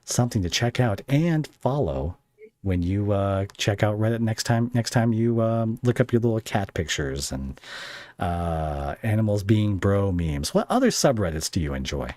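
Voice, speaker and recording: Animated man hosting a casual chat. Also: lowish voice, normal speed, no room sound. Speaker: podcast host interviewing a guest.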